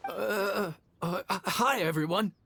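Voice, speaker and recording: Nervous, stammering young cook. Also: male voice, high-pitched, takes it slowly, vocal fry, bright, forceful tone, a little hiss or hum, exaggerated voice. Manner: hesitant, stammering. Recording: music under the voice. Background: game music.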